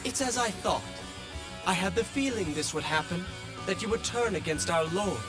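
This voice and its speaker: A man's voice: high-pitched, expressive, moderate pace, over music. An actor playing a vain male general obsessed with beauty, speaking in a flowery, admiring way. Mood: smooth, flattering. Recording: game score playing behind.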